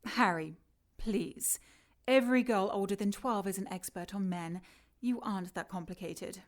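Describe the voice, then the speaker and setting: An actress playing a condescending scientist villain in a radio drama, clinical and taunting as she addresses her captive in an unhurried way. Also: medium pitch, natural voice.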